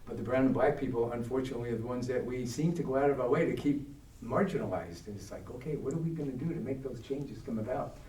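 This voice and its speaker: A man's voice: medium pitch, fast talker, mellow. Man giving a talk in an echoey hall. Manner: conversational, asks the audience questions. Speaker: guest speaker. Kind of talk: adult education talk. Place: church hall. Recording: echoey hall, distant mic.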